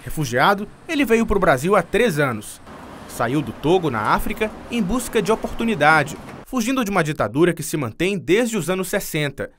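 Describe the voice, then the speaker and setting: Brief: male TV reporter, high and animated voice, scripted narration. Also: normal speed, slight background noise. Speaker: TV news reporter.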